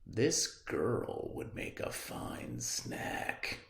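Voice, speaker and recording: A man voicing a sly, hungry male wolf in a storybook, scheming in a slow, hushed, drawn-out way. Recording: roomy, echoey indoor recording.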